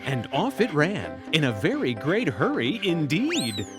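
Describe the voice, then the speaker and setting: A storyteller narrating a bedtime fairy tale in an expressive, playful storybook manner. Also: mid-range voice, unhurried, music in the background.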